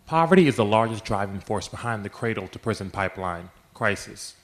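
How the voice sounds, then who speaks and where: A young man from Philadelphia, a student leader, reading a prepared statement in a measured, statistic-heavy delivery. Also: on the low side, lively intonation. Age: young adult.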